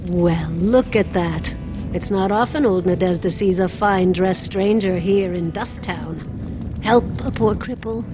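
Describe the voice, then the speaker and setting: A woman's voice: medium pitch, unhurried, music in the background, natural voice. Woman playing a wily old beggar woman. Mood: wheedling. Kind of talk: fantasy game dialogue.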